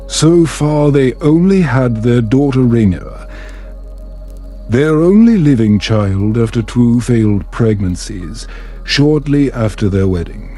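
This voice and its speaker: Slow, mellow man narrating bedtime stories. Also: medium pitch. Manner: measured, soothing, storybook delivery. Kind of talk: calm bedtime story narration.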